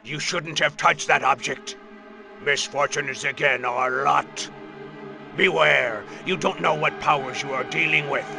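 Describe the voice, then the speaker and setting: A man's voice: a little high, fairly even intonation, a little slow, gravelly. A man voicing a superstitious village hunter in a game cutscene, speaking in grave, emphatic warnings, with music behind. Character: superstitious Transylvanian village hunter, gruff and fearful. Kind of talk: dubbed game cutscene acting.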